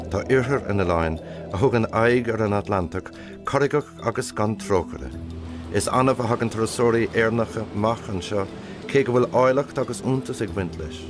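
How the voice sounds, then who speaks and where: Man, Irish accent, documentary voice-over, measured and solemn, fairly low, music playing clearly underneath. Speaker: documentary narrator. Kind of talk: scripted narration. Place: voice-over studio. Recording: voice-over with loud music bed.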